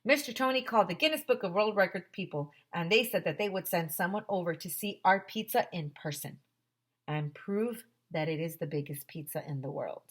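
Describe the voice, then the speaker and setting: A woman teacher reading a children's story aloud in an expressive, simple storytelling manner. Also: lowish voice, fairly quick.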